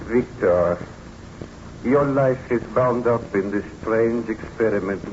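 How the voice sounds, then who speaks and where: An actor in an old-time radio drama playing a cautious, sceptical friend, speaking in formal and earnest phrases. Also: male voice, mid-range voice, a little mellow, natural voice. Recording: dull, hissy vintage radio recording.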